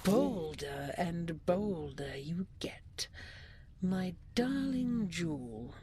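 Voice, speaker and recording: A regal dragon queen, played by a woman, speaks in a low, breathy near-whisper for an intimate audio roleplay. She draws her words out very slowly, formal and coaxing, adoring yet controlling. Noticeable ambient noise sits behind her voice. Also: expressive, mellow. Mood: stern, warning. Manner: slow, formal, coaxing endearments.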